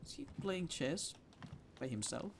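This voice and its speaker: Animated, high-voiced man reacting to a game. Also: measured pace, background noise. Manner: theatrical, exclamatory reactions. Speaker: video game streamer. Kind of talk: casual gameplay commentary.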